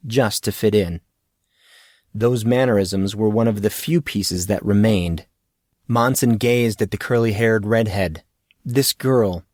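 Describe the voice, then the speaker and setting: A man's voice: mid-range voice, no echo, studio quality. A man reading scripted fiction as an audiobook narrator.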